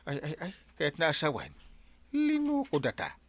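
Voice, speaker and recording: A man playing an excitable, easily aggrieved village uncle in a radio comedy, with a Filipino accent and stammering, exclamatory delivery. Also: fairly high pitch, normal speed. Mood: indignant, suspicious. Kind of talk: Ilocano radio comedy drama acting.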